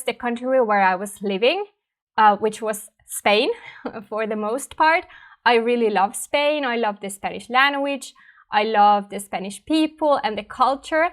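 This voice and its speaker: A young adult woman with a Finnish accent, a language teacher talking thoughtfully and conversationally to her viewers. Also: a little high. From Finland. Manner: thoughtful, conversational, frequent "right?" and fillers. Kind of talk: reflective personal talk to camera.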